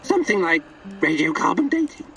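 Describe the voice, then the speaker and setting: Creaky, eccentric male vagrant. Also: high voice, big pitch swings, brisk, sharp tone, far from the mic, faint music behind, heavily acted, poor audio. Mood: curious, playful. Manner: theatrical, cryptic, playful. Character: eccentric, twitchy male vagrant spouting cryptic rat-world wisdom. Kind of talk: video game character acting.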